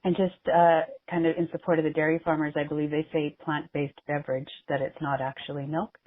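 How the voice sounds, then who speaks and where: A woman's voice: low voice, level tone, brisk, no room sound. A woman moderating a business conference call, talking informally and thinking aloud as she goes. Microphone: telephone. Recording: muffled narrowband teleconference phone line.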